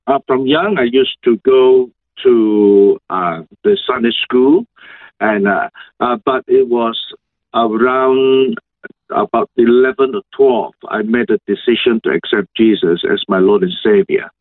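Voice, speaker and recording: A middle-aged man with a Malaysian accent, a pastor answering interview questions in halting, measured phrases over a video call. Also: mid-range voice, dry recording. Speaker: senior pastor, interview guest. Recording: thin phone-like video call audio.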